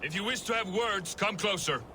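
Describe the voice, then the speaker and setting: An actor playing a brash, irreverent Viking companion who talks mockingly in game dialogue. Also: male voice, high voice, moderate pace, bright, forceful tone, a little hiss or hum. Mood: challenging. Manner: irreverent, challenging.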